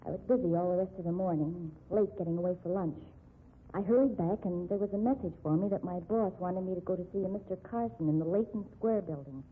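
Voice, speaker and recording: A woman's voice: lowish voice, quick pace, husky. An actress playing an honest young female shop clerk, wrongly accused and indignant, in 1950s radio drama style. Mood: somber recounting. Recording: muffled, dull 1950s radio recording.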